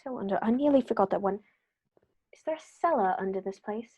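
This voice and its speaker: A woman playing a sharp, confident male detective in a radio play, crisp and brisk as he reasons through the clues. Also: mid-range voice, expressive, slight background noise, lightly acted. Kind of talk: amateur radio play acting.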